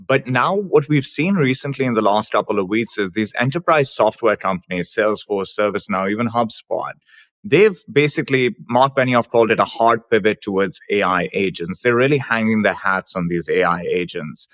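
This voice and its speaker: Low-voiced man, earnest co-host. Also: lively intonation, moderate pace, a bit bright. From Pakistan. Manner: earnest, thoughtful questioning. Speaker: podcast co-host. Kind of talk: conversational podcast interview.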